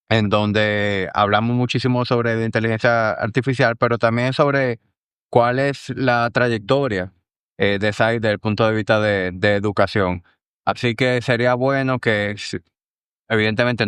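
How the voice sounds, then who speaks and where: A man with a Dominican accent hosts a podcast in a loose, chatty way, full of local slang. His voice is clear and smooth. The sound is dry and close to the mic. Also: medium pitch, dry recording. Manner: relaxed, slangy, conversational. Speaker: podcast host. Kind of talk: casual interview chat.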